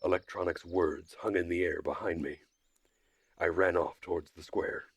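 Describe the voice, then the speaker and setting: A man with a slightly rough voice narrating a first-person visual novel story in a measured, dry manner. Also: sits low, fairly even intonation, no echo. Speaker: streamer reading a visual novel aloud.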